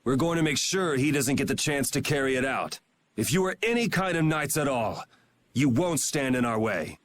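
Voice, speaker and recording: A man's voice: mid-range voice, expressive, normal speed, slight background noise, lightly acted. An actor voicing a laid-back, wry young swordsman hero in a video game, firm when it counts. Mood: resolute. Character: cool young male swordsman hero, sardonic. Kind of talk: game voice acting. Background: game music.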